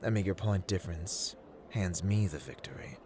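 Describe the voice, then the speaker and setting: A man playing a playful, taunting god of luck who deals cards, in a theatrical ASMR roleplay with deliberate speech and hushed murmurs. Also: low voice, husky. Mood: smug, curious. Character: playful, taunting male god of luck, smooth-talking card dealer.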